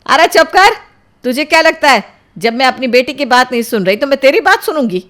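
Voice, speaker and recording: A woman voicing a strict middle-aged mother in an audio drama, scolding and threatening in a forceful way. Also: mid-range voice, expressive, moderate pace, lightly acted. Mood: furious.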